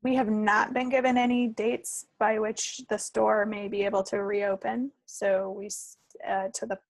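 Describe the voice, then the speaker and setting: A woman's voice: mid-range voice, little pitch movement, measured pace, no room sound, poor audio. An American woman chatting casually as a podcast co-host. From United States. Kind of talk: casual chat.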